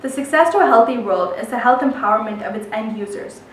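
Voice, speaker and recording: Young female student presenter, rapid scripted delivery, heard from some distance in a reverberant room. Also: fairly high pitch. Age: young adult. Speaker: public speaker, medical student. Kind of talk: prepared presentation. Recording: roomy, distant microphone.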